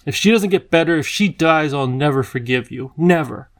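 A man's voice: a little high, moderate pace, lightly acted. A man voicing a defiant, loyal, hot-tempered young boy in a storybook, blunt and heated. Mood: choked, bitter.

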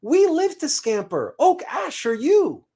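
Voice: high-pitched, wildly swooping pitch, takes it slowly, warm tone, voice pushed up, heavily acted. A performer with an unclear, mid-range voice voicing a proud, chirpy squirrel messenger in rhyming storybook verse. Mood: brisk. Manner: chirpy, theatrical rhyming speech.

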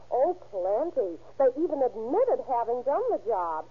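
Casting: nervous, giggly informant from a beer joint, high husky voice, tinny vintage radio crime drama. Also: female voice, big pitch swings, a little slow, heavily acted. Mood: gleeful. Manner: chatty, hesitant, slangy, with little nervous laughs. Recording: muffled, tinny old-time radio recording.

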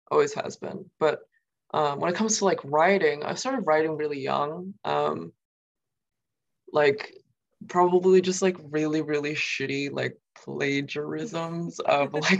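Young woman with a creaky, rambling voice. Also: low voice, highs cut off. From New York, USA. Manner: rambling, many fillers and "like". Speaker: guest poet. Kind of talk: casual interview.

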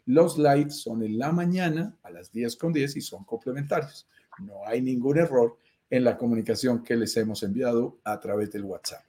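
Male host, Colombian accent, mid-pitched voice, courteous explainer for an informal live business talk. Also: normal speed, warm tone. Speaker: host and commercial director of a real estate investment business. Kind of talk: conversational live show presenting.

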